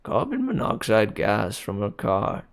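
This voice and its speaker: A man's voice: lowish voice, wildly swooping pitch, a little slow, heavily acted. A man voicing a nervous elderly hunchbacked antique dealer who stammers and pleads.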